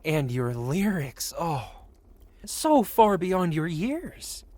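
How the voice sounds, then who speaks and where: A man's voice: fairly high pitch, very animated, very slow, darkish tone, dry recording, heavily acted. A man playing a possessive, wealthy dragon merchant who speaks in formal, flowery, courteous phrases in an intimate fantasy ASMR roleplay. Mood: admiring, intent. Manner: formal, flowery, courteous speech addressed to one listener.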